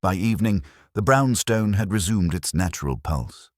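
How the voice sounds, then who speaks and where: A man's voice: deep voice, animated, normal speed, no room sound, clean studio sound. A man reading a first-person detective story as a scripted audiobook narration.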